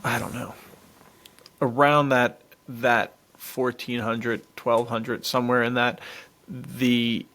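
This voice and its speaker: A man with a creaky, mid-pitched voice talks as a podcast co-host. His delivery is laid-back and slangy, feeling out explanations as he goes in casual conversation. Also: flat delivery, slow pace, fairly bright tone. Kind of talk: casual chat, off-the-cuff explaining.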